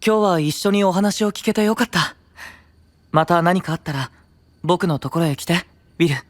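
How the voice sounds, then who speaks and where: Gentle, soft-spoken young knight played by a man. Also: sits high, moderate pace, no room sound, lightly acted. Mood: soft, thoughtful. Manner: soft, considerate, earnest. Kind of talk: Japanese fantasy voice drama.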